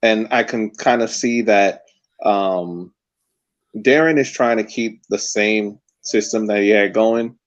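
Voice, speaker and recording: A man co-hosting a casual sports podcast, hesitant and halting, often restarting his sentences. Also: lowish voice, steady tone, highs cut off. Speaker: podcast co-host.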